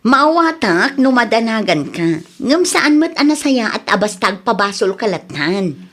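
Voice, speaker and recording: A woman's voice: fairly high pitch, big pitch swings, unhurried, a bit bright, heavily acted. A woman with an Ilocano Filipino accent acting a wronged, assertive young village woman in a radio drama. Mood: firm.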